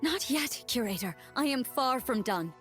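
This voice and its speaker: A woman's voice: high voice, animated, moderate pace, soft background music. Stoic warrior woman leader. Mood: defiant. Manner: solemn, confident, earnest. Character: stoic, courageous young woman blade-dancing army leader.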